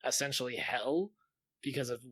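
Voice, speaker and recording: Enthusiastic male podcaster, bright edge to the voice, dry close recording, loose informal talk. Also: mid-range voice, normal speed, slightly rough, no echo. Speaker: podcast co-host. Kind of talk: casual chat.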